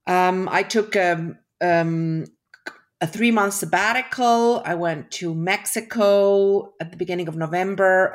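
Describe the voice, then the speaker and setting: Slow, low-voiced woman reflecting. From Switzerland. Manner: reflective, with frequent restarts and fillers. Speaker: guest. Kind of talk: casual interview conversation.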